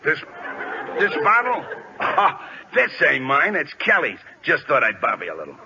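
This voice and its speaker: An actor playing a gruff, good-natured American baseball catcher in slangy, ungrammatical 1940s radio comedy style. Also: male voice, fairly high pitch, huge pitch swings, exaggerated voice. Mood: breezy. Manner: slangy, ungrammatical, drawn-out hesitations. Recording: dull, hissy old radio recording.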